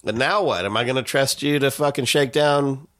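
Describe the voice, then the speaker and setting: A man's voice: medium pitch, normal speed. A man hosting a comedy podcast, riffing and swearing through improvised bits with his co-hosts. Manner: riffing, swearing, lively mock-tough bits. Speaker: podcast host taking listener calls.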